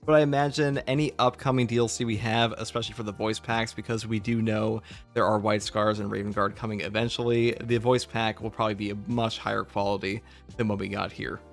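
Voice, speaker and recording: A man's voice: on the low side, level tone, a little fast, faint music behind. A man hosting a casual, conversational discussion about games. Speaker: host.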